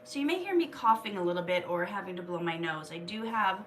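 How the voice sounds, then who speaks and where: A woman's voice: on the low side, expressive, quick pace, recorded from a distance, noisy background. A woman hosting a casual live stream, chatting with viewers and reading their comments aloud while she has a cold and keeps coughing.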